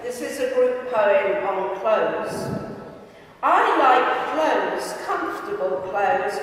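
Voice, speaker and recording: A woman reads poetry aloud at an unhurried, deliberate pace, pausing between phrases. Her voice rings around a big hall with a long reverb, picked up at a distance from the microphone. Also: medium pitch, animated. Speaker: reader at a live literary event. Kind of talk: reading poems and short prose aloud to an audience. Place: large indoor gym hall at a live reading.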